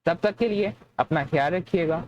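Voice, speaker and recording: A woman's voice: on the low side, moderate pace, lo-fi sound. Pakistani woman lecturing over background noise. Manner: didactic, repeats points and poses questions then answers them. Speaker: law lecturer. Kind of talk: explanatory lecture mixing in English legal terms.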